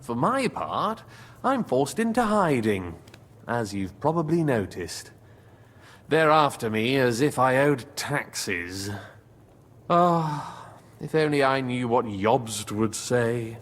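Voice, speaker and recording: A man's voice: mid-range voice, big pitch swings, slow pace. A man playing a shrewd, wry medieval nobleman with a theatrical manner in video game dialogue.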